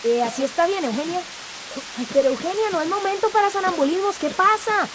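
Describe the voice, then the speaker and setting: A practical young village woman, voiced by an actress in a radio drama. Her voice is very high and urgent, and rain noise hisses clearly behind her. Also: brisk.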